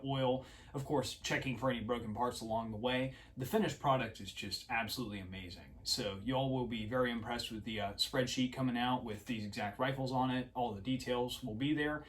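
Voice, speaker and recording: A young man co-hosting a casual product talk to camera in an indoor room, relaxed and informal. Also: medium pitch. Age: young adult. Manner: relaxed, informal, uses y'all. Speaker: co-host. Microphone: far camera. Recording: distant mic, faint noise.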